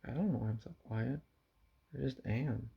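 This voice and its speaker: Quiet, gravelly young man, sighing. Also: mid-range voice. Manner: quiet, subdued, halting and sighing. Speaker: vlogger. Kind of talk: confessional vlog to camera. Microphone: camera mic. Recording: dull lo-fi camera audio.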